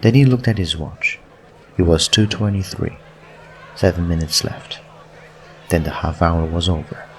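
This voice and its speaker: A man with a very low voice reads story narration for an audiobook. The delivery is scripted, and there is faint noise on the recording. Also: measured pace, pauses often.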